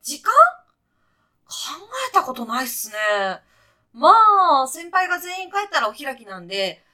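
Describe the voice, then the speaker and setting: A woman's voice: high voice, big pitch swings, normal speed, sharp tone, exaggerated voice. A woman playing a brash, breezy guide to heaven in a comic radio sketch, talking in punchy, clipped, casual slang like a sporty junior employee. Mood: upbeat, brash.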